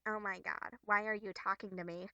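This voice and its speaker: A woman's voice: mid-range voice, steady tone, moderate pace, pushed and bright, no room sound, muffled recording. A woman voicing a snide, haughty young troll girl from a webcomic, drawling with mocking, exasperated sarcasm. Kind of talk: amateur character voice acting from a webcomic.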